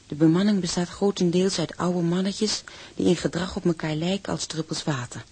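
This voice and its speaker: An actor playing a young seaman who narrates life on board in a radio drama, breathy, wry and confiding. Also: high-pitched, moderate pace, darkish tone. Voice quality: husky, breathy.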